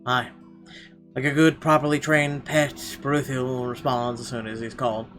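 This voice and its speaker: A mid-pitched man reads visual novel text aloud in a let's play style. His delivery is expressive, with occasional stumbles and offhand asides, and game music plays clearly behind him. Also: moderate pace. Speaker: game streamer.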